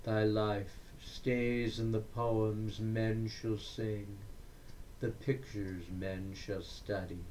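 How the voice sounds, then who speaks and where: A man reciting poetry in a deliberate, declamatory way, breaking the verse into short phrases with frequent pauses. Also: fairly low pitch, level tone, a little mellow, a little hiss or hum. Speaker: poetry reader.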